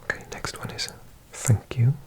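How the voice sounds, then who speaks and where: A person giving an ASMR language lesson in a breathy whisper, softly repeating words in an unhurried way. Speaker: language teacher.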